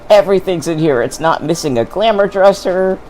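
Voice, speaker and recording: Chatty, lively male gamer. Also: high voice, brisk, slight background noise, rough recording. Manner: chatty, enthusiastic, laughs a lot. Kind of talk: casual let's-play chat.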